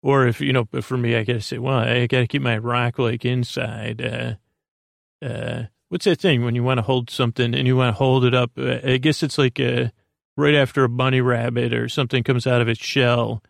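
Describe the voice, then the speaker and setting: Creaky-voiced man, rambling soothingly. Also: medium pitch, level tone, no echo, studio quality. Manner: halting, meandering, self-deprecating; lulling, soothing. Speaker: podcast host. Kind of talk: rambling bedtime podcast monologue.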